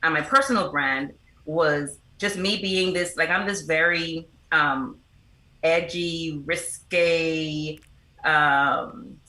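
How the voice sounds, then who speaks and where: A woman's voice: low-pitched, steady tone, slow pace, sharp tone. A middle-aged woman, giving earnest, explanatory answers as an interview guest. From the Bahamas. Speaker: interview guest, foundation representative and motivational speaker. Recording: roomy, echoing sound.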